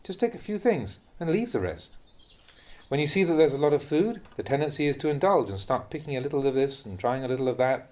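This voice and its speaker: A man reading a translated Buddhist talk aloud as a monk would teach, in a measured, instructive manner. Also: medium pitch, sing-song, darkish tone.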